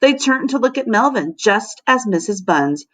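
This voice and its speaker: A woman teacher narrating a children's storybook aloud in an expressive, dramatic way. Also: on the high side, normal speed. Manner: animated, dramatic.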